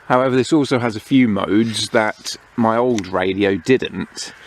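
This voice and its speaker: A man giving a casual, unhurried hands-on product review, explaining each feature as he goes. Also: fairly low pitch. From United Kingdom. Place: outdoors among greenery. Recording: clear outdoor background noise.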